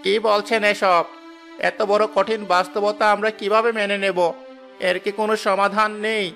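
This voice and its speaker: A man playing a bright-voiced, humble royal minister. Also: sits high, level tone, moderate pace, gravelly, faint music behind, heavily acted. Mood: distraught. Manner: formal and deferential. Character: loyal, humble, anguished royal minister and father. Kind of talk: animated fairy-tale dialogue.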